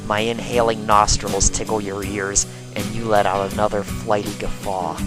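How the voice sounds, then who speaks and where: A man voicing a brash, lovestruck young female pegasus pony in a strained, raspy voice for a fan fiction reading. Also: lowish voice, little pitch movement, over music, natural voice. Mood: giddy, dreamy. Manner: halting, gushing, smitten. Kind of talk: first-person fan fiction reading in character.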